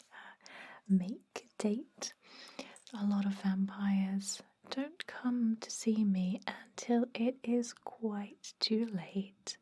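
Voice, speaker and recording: A woman playing a caring, centuries-old vampire dentist in a breathy, soothing whisper for an ASMR roleplay. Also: mid-range voice. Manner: soothing, gently chatty, unhurried.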